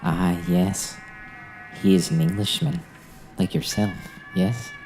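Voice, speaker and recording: A man acting as a polite, cagey Egyptian hotel keeper in an audio drama, speaking in measured, ingratiating short phrases. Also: sits low, big pitch swings, gravelly, a little mellow, faint music behind, heavily acted. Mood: courteous, guarded. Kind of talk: radio-play acting.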